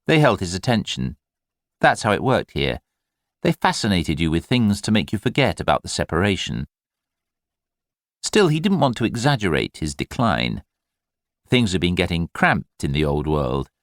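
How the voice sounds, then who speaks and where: A man reading literary fiction as an audiobook narrator, in an expressive, measured storytelling manner. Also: sits low, no room sound, studio quality.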